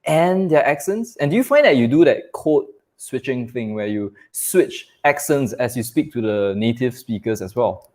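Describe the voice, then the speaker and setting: A man's voice: medium pitch, animated, normal speed, a little mellow. A man hosting a casual conversation, asking his guest curious questions. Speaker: host.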